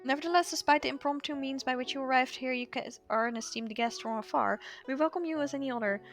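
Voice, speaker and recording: A woman's voice: high voice, fast talker. A woman reading aloud the game dialogue of a courteous, chatty gatekeeper, in polite, formal, wordy phrasing. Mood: polite, welcoming. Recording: music behind the voice.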